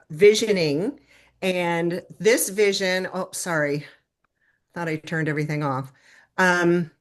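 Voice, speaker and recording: An American woman from the Detroit area leading an online workshop in a chatty manner, often asking her audience questions over a video call. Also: on the low side. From Detroit area, United States. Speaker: workshop leader and business consultant. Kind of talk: conversational online workshop talk.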